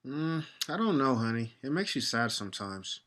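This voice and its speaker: An easygoing father, played by a man, talks in a mid-pitched voice. He is patient and kindly, gently questioning, as in audio drama dialogue. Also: big pitch swings, moderate pace. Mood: indulgent.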